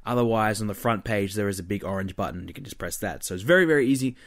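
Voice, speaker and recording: Casual male podcast host, lowish voice. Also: fast. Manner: informal, blunt, chatty. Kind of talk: casual solo podcast talk.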